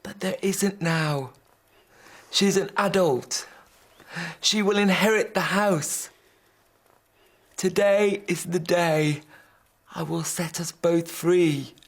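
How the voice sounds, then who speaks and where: An actor playing a bitter, despairing father, grave and deliberate, in a serious drama. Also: high-pitched, heavily acted. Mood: desperate, resolute.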